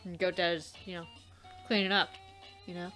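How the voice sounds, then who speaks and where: A woman co-hosting a let's play, reacting casually and haltingly as she searches for words. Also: lowish voice, little pitch movement, bright, forceful tone, faint music behind. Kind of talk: casual chat, reacting to a game.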